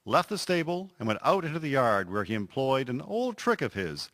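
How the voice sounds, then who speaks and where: A man's voice: medium pitch, very animated, moderate pace, no echo. A man narrating a radio drama as a scripted storyteller. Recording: music prominent behind the voice. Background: loud music.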